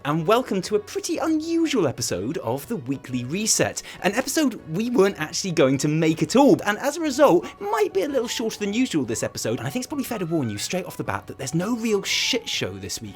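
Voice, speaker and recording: A British man hosting a gaming news show with lively scripted commentary, theatrical and sarcastic in delivery. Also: sits high, brisk, few pauses, soft background music.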